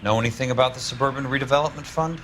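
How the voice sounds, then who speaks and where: A man's voice: medium pitch, racing, fairly bright tone, a little hiss or hum, close to an everyday voice. An actor playing a terse, wry 1940s insurance investigator in a detective game, a man with an American accent and a businesslike manner. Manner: businesslike, dry. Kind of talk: video game detective acting.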